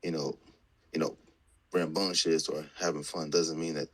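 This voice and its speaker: Man, very deep, husky, hesitant speaker in a group talk. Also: flat delivery, moderate pace, poor audio. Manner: hesitant, many fillers. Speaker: discussion participant. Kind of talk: group discussion.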